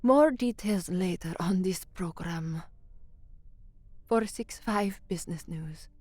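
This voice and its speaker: A woman's voice: fairly low pitch, slow pace, a little mellow, dry recording. An actress playing a young, anxious woman who works as a radio newsreader in an audio drama. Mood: formal, composed. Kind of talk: audio drama dialogue, narration and formal newsreading.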